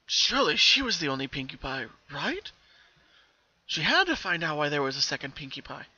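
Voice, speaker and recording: A man's voice: fairly high pitch, sing-song, moderate pace, sharp tone, slightly rough, dry recording, lo-fi sound. A man reading a scripted story aloud as its narrator, in a dramatic storytelling style.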